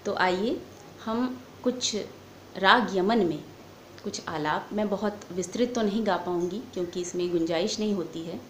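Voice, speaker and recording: A woman giving a formal, measured lecture as a singing teacher. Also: lowish voice, a bit bright. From India. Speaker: music lecturer, vocal teacher. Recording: echoey room with faint background noise.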